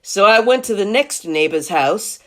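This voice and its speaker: A woman's voice: mid-range voice, animated, normal speed, lightly acted. A woman voicing a folksy, indignant male wolf who tells his side of a storybook tale with mock innocence. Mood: self-excusing.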